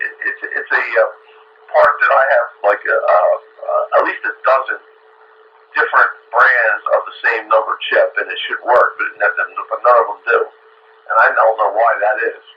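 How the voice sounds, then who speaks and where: Low-voiced man over muffled two-way radio. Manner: hesitant, halting, repeats words. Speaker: amateur radio operator. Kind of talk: casual technical radio chat. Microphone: radio transceiver, recorded off air. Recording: dull, noisy two-way radio audio.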